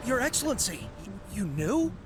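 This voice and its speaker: Someone doing a nervous soldier begging his boss, voice jumps around, loud music. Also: mid-range voice, big pitch swings, moderate pace, lightly acted. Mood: pleading. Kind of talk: video game dialogue. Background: game music.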